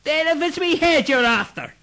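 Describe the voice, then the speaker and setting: A man voicing a terrified drunken Irishman with a frantic, shrill, pleading manner. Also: expressive, normal speed, pushed and bright, exaggerated voice.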